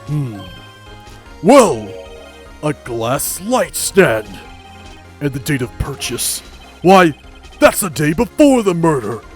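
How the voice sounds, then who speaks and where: A man's voice: on the high side, sing-song, extremely slow, pauses often, faint music behind, exaggerated voice. A man voicing a hesitant old judge in an amateur game dub, formal and ponderous. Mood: surprised.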